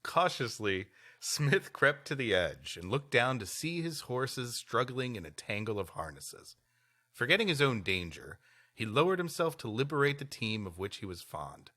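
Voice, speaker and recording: A man's voice: mid-range voice, sing-song, moderate pace, bright, forceful tone. A man co-hosting a comedy podcast, reading history aloud and bantering around it. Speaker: podcast co-host.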